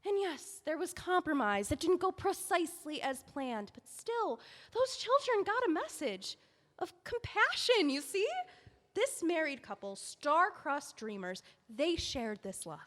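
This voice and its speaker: Woman doing a high, lively, earnest young editor, pleading fast, big roomy echo. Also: full character voice. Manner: earnest, rushing, emotional pleading. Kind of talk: audio drama acting.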